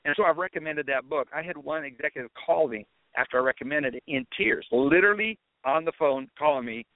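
A man's voice: on the high side, a little fast, dry recording. A middle-aged man hosting a podcast, telling stories in a rambling, conversational way. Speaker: podcast host. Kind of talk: casual monologue. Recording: tinny phone-like dry audio.

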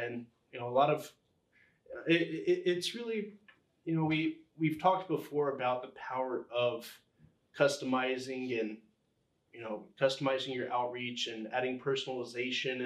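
A man answering interview questions as a podcast guest, explaining things conversationally and haltingly as he pieces thoughts together. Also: mid-range voice. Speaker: podcast guest, engineering executive. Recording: roomy, echoing sound.

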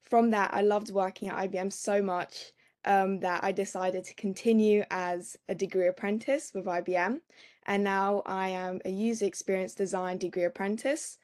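A woman's voice: mid-range voice, fairly even intonation, moderate pace, darkish tone. Young woman, chatting on a podcast, lots of ums. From United Kingdom. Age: young adult. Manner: chatty, with frequent "um"s. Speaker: podcast guest.

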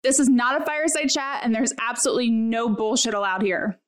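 A woman's voice: a little high, fairly even intonation, fairly quick. A woman host delivering a bold, brash scripted show intro.

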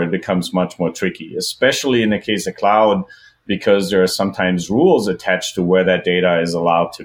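A man hosting a tech podcast, asking long, relaxed questions and thinking aloud. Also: on the low side. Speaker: podcast host.

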